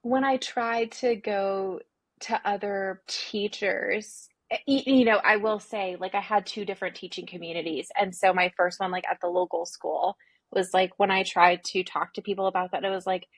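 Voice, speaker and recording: A woman's voice: mid-range voice, fairly even intonation, normal speed, dry recording. A woman from Singapore talking candidly and informally as an interview guest, reflecting and voicing her inner dialogue. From grew up in Singapore. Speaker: interview guest, therapist and former teacher.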